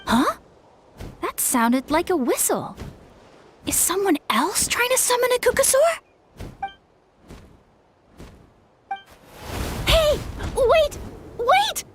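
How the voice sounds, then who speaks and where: A woman playing an earnest, excitable young trainee flyer with some self-doubt, in a video game. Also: very high-pitched, takes it slowly, long pauses, slight background noise, exaggerated voice. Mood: startled, urgent.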